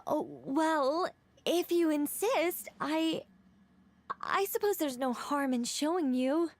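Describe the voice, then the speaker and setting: A woman voicing a modest, polite young lady sculptor in a video game, speaking with gentle hesitation. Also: sits high, slow pace. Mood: modest, coy.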